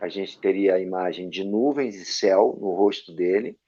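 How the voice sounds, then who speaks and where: A man from Brazil, an actor and director, telling stories from his career as an interview guest, with a lively anecdotal manner and frequent tag questions. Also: low voice, normal speed, husky. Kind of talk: storytelling interview answers. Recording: dull lo-fi audio.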